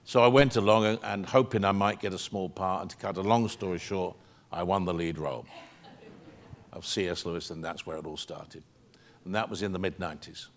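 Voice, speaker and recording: A British man in his sixties with a low voice tells anecdotes to a theater audience. He is chatty and rambling, with plenty of ums and self-corrections. He sounds like a stage microphone picked him up on stage. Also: fairly even intonation, fairly quick, slight background noise. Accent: British English. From England. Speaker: actor answering audience questions. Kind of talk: stage Q&A storytelling. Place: theater stage, seated in armchair.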